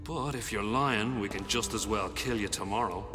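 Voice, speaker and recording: Male actor as game villain: menacing Irish gang boss, low pitch, taunting, in long reverb over music. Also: normal speed, natural voice. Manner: taunting, streetwise. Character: menacing, mocking male revolutionary crime boss. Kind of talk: video game voice acting. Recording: long hall reverb, loud music behind.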